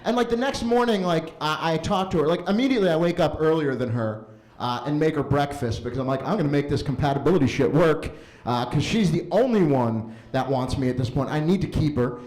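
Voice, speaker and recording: A man in his late thirties doing a stand-up set at an open mic in a basement, telling stories animatedly and jumping into high voices for quoted lines. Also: a little fast, darkish tone. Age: 30s. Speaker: stand-up comedian. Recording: roomy basement echo.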